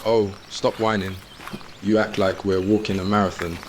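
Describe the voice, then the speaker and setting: Low, flat-toned snide teenage boy acted by a man. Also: moderate pace, noisy background, natural voice. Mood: exasperated. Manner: muttering, exasperated, flat. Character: snide, impatient teenage boy, mocking friend.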